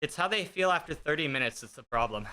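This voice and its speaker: Thirties male streamer, a bit high and bright, joking casually with chat over a desk mic. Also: animated, fast talker, clear, resonant tone. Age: 30s. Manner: joking, self-deprecating, chatty; talks while riding an indoor bike. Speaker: fitness streamer. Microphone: streaming desk mic with noise gate.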